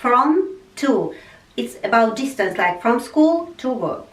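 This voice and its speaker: A woman teaching a language lesson, explaining slowly and patiently and repeating each example sentence. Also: on the high side, lively intonation, far from the mic. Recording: echoey room, voice off-mic.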